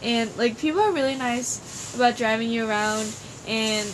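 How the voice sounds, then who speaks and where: A woman's voice: a little high, normal speed, rough recording. A teenage girl from Denmark chatting casually as an exchange student, giggly and full of "like" fillers, filmed outdoors on a porch. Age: teens. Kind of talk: casual chat. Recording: outdoor ambience noise.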